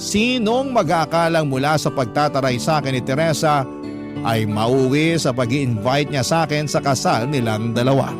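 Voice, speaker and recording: A man's voice: medium pitch, moderate pace. A man with a Filipino accent reading a scripted first-person story as a radio narrator. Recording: loud guitar music under the voice.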